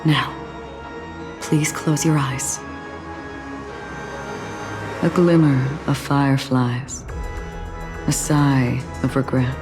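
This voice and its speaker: Very deep-voiced, serene guide. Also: female voice, fairly even intonation, exaggerated voice. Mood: serene, solemn. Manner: hushed, poetic, deliberate. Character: calm, mysterious low-voiced woman guiding a dreamer. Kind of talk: video game character acting. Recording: music behind the voice.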